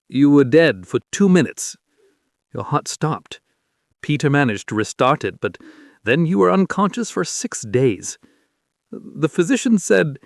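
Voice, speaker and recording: A man's voice: on the high side, very animated, unhurried, gravelly, dry recording, studio quality, voice pushed up, exaggerated voice. A man voicing a fierce, anguished king in love in a dramatic story, impassioned and pleading. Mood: shaken, scolding.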